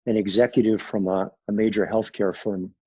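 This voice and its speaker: A man with a raspy voice, speaking as a sales consultant guest in a business interview, pragmatic and emphatic. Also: on the low side, little pitch movement, normal speed, a little mellow, muffled recording.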